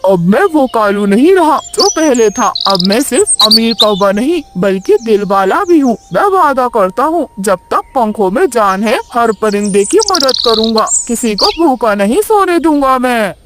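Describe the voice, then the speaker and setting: A woman's voice: fairly high pitch, expressive, a little slow, few pauses, very noisy. A woman voicing a boastful crow in a theatrical, emphatic cartoon moral-story style. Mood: earnest. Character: boastful greedy crow, later humbled and remorseful.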